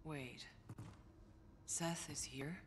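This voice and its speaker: Casting: hardened, impatient heroine of a video game, low blunt delivery, noisy scene. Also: female voice, extremely slow. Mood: resolute. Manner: curt, blunt, no-nonsense. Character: tough, impatient female super-powered mercenary. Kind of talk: dramatic video game cutscene acting.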